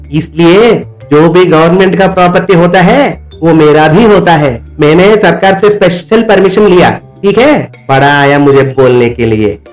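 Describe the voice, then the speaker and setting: A man voicing a greedy, boastful young village jeep driver in a cartoon, with a brash manner. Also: sits high. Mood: scornful. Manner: brash, boastful hawker's calls. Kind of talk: cartoon dubbing.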